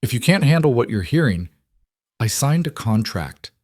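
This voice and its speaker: A man voicing a guarded young woman interpreter who speaks in a clipped, controlled way, slightly higher than his narration. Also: sing-song, normal speed, a little mellow, no echo, studio quality. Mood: tense.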